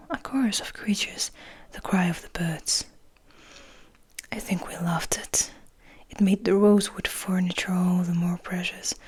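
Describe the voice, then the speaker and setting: A woman reading a story aloud as soft ASMR, in a breathy, mostly unvoiced whisper with gentle, unhurried delivery. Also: on the low side. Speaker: ASMR host and reader.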